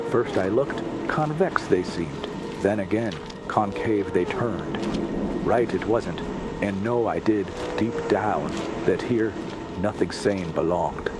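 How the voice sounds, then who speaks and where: An actor playing a weary, haunted sea captain who tells his grim tale in halting, inverted phrases in a radio drama. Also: lowish voice, very noisy. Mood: grim, uneasy. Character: haunted, weary Scandinavian sea captain recounting a dreadful voyage. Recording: loud sea and boat ambience behind.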